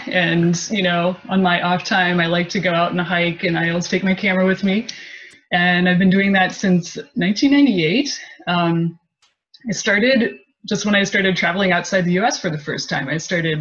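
An upbeat woman from Ohio introduces herself as a photographer on a video call. Her tone is eager and lively. The audio sounds somewhat muffled. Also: on the low side. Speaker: panelist photographer. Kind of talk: casual video call chat.

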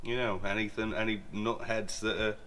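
A man's voice: lowish voice, little pitch movement, normal speed, a bit bright, smooth, poor audio. A man in his forties, an aircraft maintenance engineer, chatting as he works through a job in a hangar and often restarting his sentences. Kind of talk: casual hands-on workshop walkthrough. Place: large indoor aircraft hangar.

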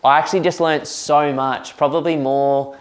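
A man's voice: on the high side, steady tone, normal speed. An Australian man hosting a property podcast, talking in an enthusiastic, chatty way with plenty of fillers and a light, youthful voice. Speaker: podcast host. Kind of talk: casual podcast chat.

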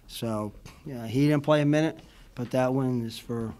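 Brief: middle-aged male coach, postgame presser, rambly and heartfelt, fills every pause with "you know", table mic. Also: mid-range voice, a little mellow. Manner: rambling, emotional, many fillers like "you know what I mean". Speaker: college basketball head coach. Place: indoor press room before a logo backdrop. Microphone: table mic in front of him.

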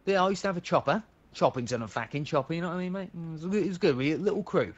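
A man playing a cheeky British gentleman wheeler-dealer in an improvised online game role-play, chatty, slangy and sweary. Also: on the high side, lively intonation, racing, dull audio. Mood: boastful, chatty. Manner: slangy, sweary, rambling and matey.

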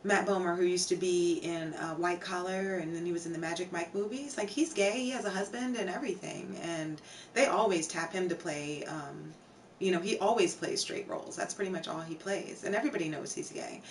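A young woman with a hoarse, tired voice, chatting casually with her viewers on a home live stream and filling her talk with "like" and "um". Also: fairly low pitch, fairly quick, recorded from a distance, slight background noise. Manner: chatty, reads out chat names, many fillers. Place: home streaming room with shelves.

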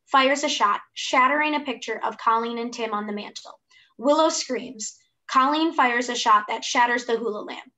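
Young woman reading out stage directions, highish voice, scripted narration on a video call. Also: pushed and bright. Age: young adult. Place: room at home. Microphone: computer mic on video call.

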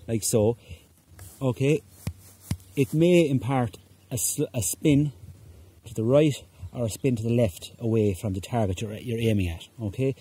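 A middle-aged man from Ireland who coaches hurling and gives a casual demonstration on a large rural lawn, talking in a relaxed way with frequent "okay?" checks and fillers. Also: medium pitch, slightly rough. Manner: relaxed, repeats "okay?" often, hesitant fillers. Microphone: phone camera at ground level.

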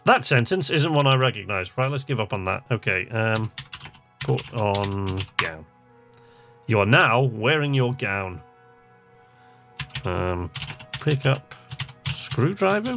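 A man's voice: medium pitch, fairly bright tone. A man giving casual let's-play commentary, chatty and hesitant as he thinks aloud and reads game text. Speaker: host of a video game channel.

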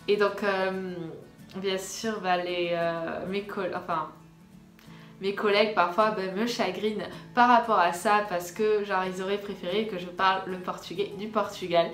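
A young woman tells her story casually to her followers. Her mid-pitched voice sounds in an echoey room, with faint music underneath. She often hesitates and doubles words to stress them. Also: a bit bright. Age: young adult. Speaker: vlogger. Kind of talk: casual storytime chat to viewers.

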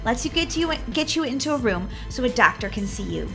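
A woman voicing a kindly paramedic who takes charge, soothing and brisk, in a playacted story. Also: a little high, sing-song, gravelly, over music. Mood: reassuring.

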